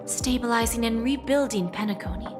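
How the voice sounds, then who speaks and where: A woman's voice: on the high side, a little fast, lightly acted. Composed, shrewd businesswoman, played by an actress, over music. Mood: smooth, assured. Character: confident, shrewd female corporate negotiator. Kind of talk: scripted game cutscene dialogue. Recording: dramatic music under the voice.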